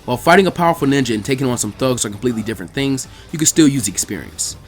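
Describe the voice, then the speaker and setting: A man voicing a calm, seasoned ninja teacher in a measured, grave, low-key manner for a story reading. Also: faint music behind, lightly acted. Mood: composed.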